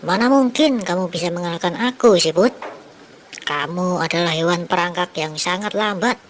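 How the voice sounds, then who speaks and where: A woman's voice: on the low side, moderate pace, slight background noise, close to an everyday voice. A woman voicing a boastful, arrogant mouse-deer in a children's storybook tale, speaking in a mocking, haughty way.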